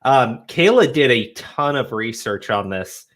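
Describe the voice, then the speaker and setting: A man's voice: mid-range voice, animated, unhurried, fairly bright tone. A young man hosting a casual live stream, with a cold and a cough, rambling with many restarts. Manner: rambling, stumbling restarts, laid-back. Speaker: host.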